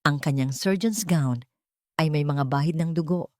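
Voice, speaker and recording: A woman reading a scripted dramatic story as its narrator, with measured, dramatic delivery. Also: sits low, a little mellow, no room sound, clean studio sound.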